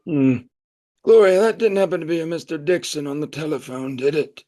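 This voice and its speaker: An actor playing a pompous, nostalgic, middle-aged leader of a secretive group in an audio play, speaking in a grandiose, rambling way. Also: male voice, on the high side, animated, fairly quick, dark tone, lightly acted. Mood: brisk, courteous.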